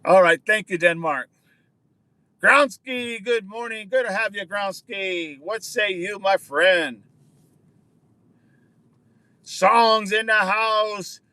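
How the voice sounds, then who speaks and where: A man's voice: high-pitched, takes it slowly, pauses often, pushed and bright, no room sound. A man hosting a casual livestream outdoors, chatting with viewers and answering their comments, often repeating words for emphasis. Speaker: livestream host.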